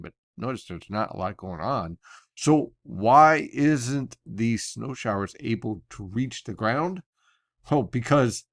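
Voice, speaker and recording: Male, American, lowish pitch. Weather forecaster explaining things conversationally, off script, in a dry room. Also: no room sound. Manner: conversational, explains technical detail step by step. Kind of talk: explanatory weather briefing, unscripted.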